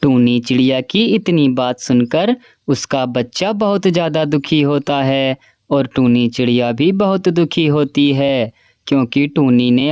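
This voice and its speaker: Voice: medium pitch, darkish tone, clean tone, no echo, dull audio. A narrator telling a children's cartoon story in a plain storytelling way.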